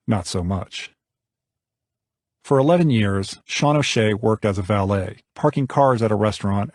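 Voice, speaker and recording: A man's voice: lowish voice, creaky, darkish tone, dry recording, clean studio sound. A man narrating a nonfiction audiobook from a script.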